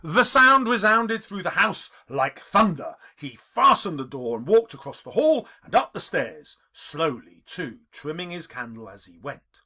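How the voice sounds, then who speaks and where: A man's voice: a little high, sing-song, unhurried, few pauses, pushed and bright. A man reading a classic story as an audiobook, with theatrical, emphatic phrasing and dramatic stresses. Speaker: audiobook reader, English teacher. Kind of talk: dramatic scripted audiobook narration.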